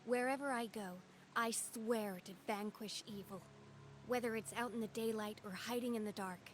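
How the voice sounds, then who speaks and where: A woman voicing an earnest young female swordswoman in a game, soft-spoken and sincere. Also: fairly high pitch, steady tone, moderate pace, slight background noise, close to an everyday voice. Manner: earnest and soft-spoken, proud battle cries. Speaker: game character. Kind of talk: game character voice lines.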